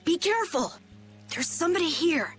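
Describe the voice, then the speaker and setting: An excitable child adventurer in a video game. The voice is very high and bouncy, earnest and emphatic in a childlike way, over faint music. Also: female voice, normal speed. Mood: suspicious.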